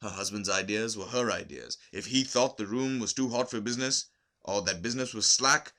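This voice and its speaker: A man narrating a literary short story from a script, expressive and deliberate, with emphasis on key words. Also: fairly low pitch. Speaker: amateur audiobook reader.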